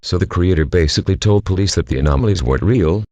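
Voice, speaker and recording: A man's voice: sits low, fast talker, darkish tone, no echo, lo-fi sound. A man playing a curious, puzzled citizen on a scripted call to police, with a questioning manner. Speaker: caller. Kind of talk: scripted phone call drama.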